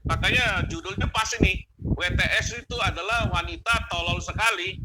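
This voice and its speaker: A man with a slightly high voice talks as a panelist on a religious discussion. He argues conversationally, repeats key phrases and seeks agreement. The audio is noisy, with clicks. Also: normal speed. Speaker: panelist on an online religious talk show. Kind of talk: opinionated conversational commentary with religious exhortation.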